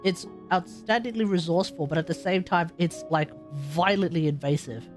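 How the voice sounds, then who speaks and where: A woman's voice: lowish voice, normal speed, darkish tone, faint music behind. A woman streaming a game, giving casual live commentary and musing aloud as she reacts.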